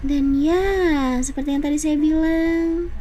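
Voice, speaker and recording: A woman's voice: high-pitched, steady tone, a little slow, warm tone, faint music behind. A woman with an Indonesian accent giving casual live commentary as she streams a game. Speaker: game livestreamer. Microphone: microphone about 15 to 20 cm away.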